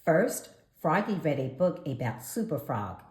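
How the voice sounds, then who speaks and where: A woman reading a children's story aloud in an animated narration, with deliberate dramatic pauses. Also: sits low. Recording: echoey room.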